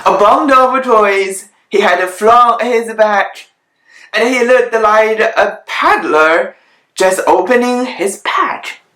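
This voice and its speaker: A storyteller with a mid-range voice reads a children's story aloud at an unhurried pace. The delivery is halting and deliberate, with plain off-the-cuff commentary. The recording is roomy and echoing. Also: medium pitch, pushed and bright. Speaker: host reading children's stories aloud. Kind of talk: read-aloud of children's Christmas stories with off-the-cuff asides.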